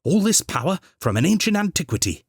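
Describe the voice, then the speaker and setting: A man's voice: sits high, big pitch swings, fairly quick, vocal fry, breathy edge, no room sound, clean studio sound, voice pushed up, exaggerated voice. A man voicing an eccentric male time traveller who exclaims, fusses and ends his questions with a hum. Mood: delighted.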